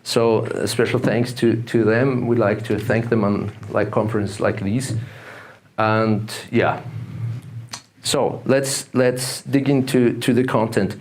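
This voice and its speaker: A man from Austria with an Austrian German accent giving a talk at a conference, halting and full of fillers and restarts. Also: mid-range voice, fairly even intonation, a little mellow. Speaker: conference speaker. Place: conference room with audience.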